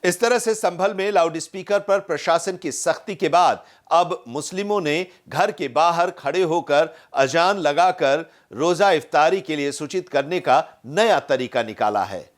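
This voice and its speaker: A male news reporter reading a scripted voice-over in a steady, formal way. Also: fairly high pitch, measured pace, few pauses.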